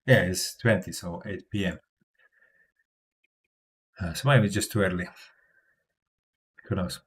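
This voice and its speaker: A man's voice: deep voice, takes it slowly. A man from Europe, speaking English as a second language with a non-native accent, talking casually on a livestream with many fillers and restarts. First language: not English. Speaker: crypto livestreamer.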